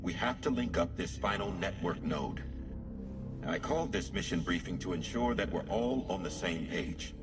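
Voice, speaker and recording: Resolute low-pitched man leading a mission. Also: animated, normal speed, over music. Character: resolute male mission leader rallying his team. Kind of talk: video game cutscene acting.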